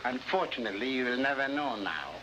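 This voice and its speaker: An actor playing a smug, scheming Latin American newspaper publisher in a theatrical, oily 1950s television style. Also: male voice, medium pitch, expressive, fast, muffled recording, close to an everyday voice. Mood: smooth, gloating. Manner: theatrical, oily, mock-courteous. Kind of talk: early 1950s TV adventure acting. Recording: noisy old 1950s television soundtrack.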